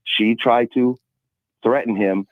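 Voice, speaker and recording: A man's voice: mid-range voice, fairly even intonation, slow, no room sound. A man calling a radio show over a narrow phone line, explaining his argument conversationally.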